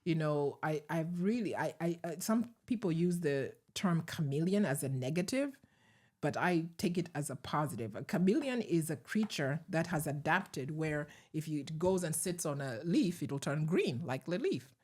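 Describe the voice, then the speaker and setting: A middle-aged woman with a Ugandan accent, a guest recalling her past in a measured, reflective way with soft laughs. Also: deep voice, expressive. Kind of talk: conversational interview storytelling.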